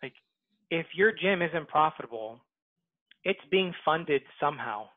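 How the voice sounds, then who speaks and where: A man with a fairly high voice answers interview questions over a headset on a video call. The audio is thin and phone-like, dry with no room sound. He argues persuasively and keeps repeating his central point. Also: expressive, unhurried, dry recording. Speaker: guest, book author.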